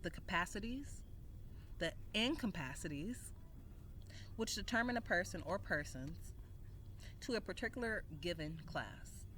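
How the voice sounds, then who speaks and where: A woman's voice: fairly low pitch, animated, a little slow, pauses often, a bit bright. Woman reading aloud over noisy ambience. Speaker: video creator reading an essay aloud. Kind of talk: read-aloud written text with casual off-the-cuff asides.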